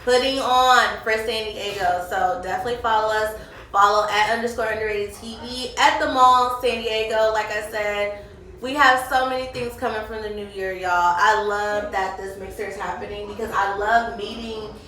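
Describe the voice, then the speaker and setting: A woman's voice: mid-range voice, fairly even intonation, normal speed, bright, forceful tone. A woman hosting an interview in casual, slangy, chatty talk. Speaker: interviewer and host. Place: echoey room at a mixer event. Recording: very echoey room, distant mic, faint noise.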